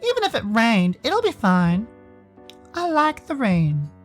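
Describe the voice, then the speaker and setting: Man voicing a slow, aloof furry swimmer. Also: very high voice, huge pitch swings, soft background music, voice pushed up, full character voice. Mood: aloof, terse. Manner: slow, clipped short replies. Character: aloof, terse male furry animal swimmer, faintly jeering. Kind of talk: visual novel character voice.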